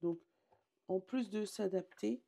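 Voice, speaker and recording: A hoarse woman, casually chatting and reading oracle card meanings aloud, often correcting herself. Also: mid-range voice, takes it slowly, mellow. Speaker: tarot and oracle card reader.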